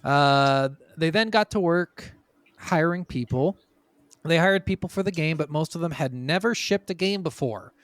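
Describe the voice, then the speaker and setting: A man's voice: on the high side, normal speed, fairly bright tone, dry recording, clean studio sound. A man hosting a podcast, talking casually and emphatically from his notes, with plenty of swearing. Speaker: podcast host.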